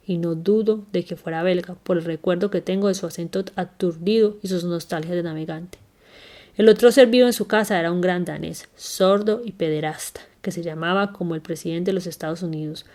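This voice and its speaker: Woman reading a memoir aloud, low voice. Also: a little mellow. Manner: unhurried, careful reading with small slips and self-corrections. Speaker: reader.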